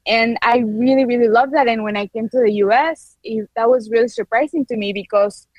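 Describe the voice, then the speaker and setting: A woman's voice: a little high, brisk, no echo, dull audio. A woman in her twenties from Ecuador with a Spanish accent, eagerly telling her story as an interview guest, laughing often and restarting sentences. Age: 20s. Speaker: guest entrepreneur. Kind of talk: casual interview chat.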